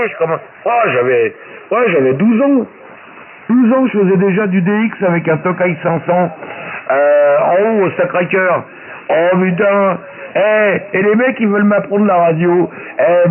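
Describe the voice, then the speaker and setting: A man chatting casually as an amateur radio operator, colloquial and boastful, repeating phrases for emphasis, his voice coming through shortwave radio. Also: sits high. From Paris, France. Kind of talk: casual radio chat, anecdotes. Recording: very noisy narrowband shortwave radio transmission. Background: loud radio static.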